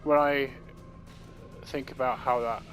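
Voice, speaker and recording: A man's voice: medium pitch, steady tone, unhurried, a bit bright, faint music behind. A man giving casual, rambling, opinionated commentary on a video game. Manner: rambling and opinionated, many fillers. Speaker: gaming commentator.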